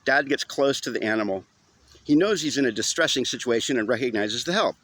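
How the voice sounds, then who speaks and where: A man's voice: medium pitch, expressive, brisk, a bit bright, slightly rough. Conversational man telling a personal story. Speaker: audiobook narrator.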